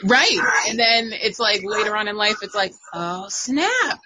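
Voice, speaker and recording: Bright husky female co-host. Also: medium pitch, sing-song, a little slow, poor audio. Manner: agreeable, frequent affirmations. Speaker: podcast co-host. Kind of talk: casual chat.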